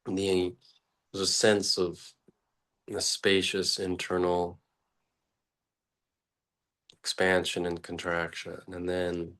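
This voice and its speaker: A man leading an unscripted guided meditation as a teacher, speaking haltingly in short phrases with long pauses between them. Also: sits low, monotone, a bit bright.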